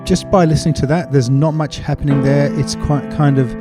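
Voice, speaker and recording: Man narrating a music software tutorial over music. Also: a little high, fairly even intonation, a little fast. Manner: explanatory, step-by-step. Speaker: music production tutorial presenter.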